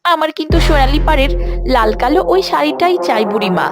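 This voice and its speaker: High-pitched young girl, done by a woman, greedy, wheedling, insistent, cartoon dialogue, light music bed. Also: animated, fast. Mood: eager. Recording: electronic music behind the voice.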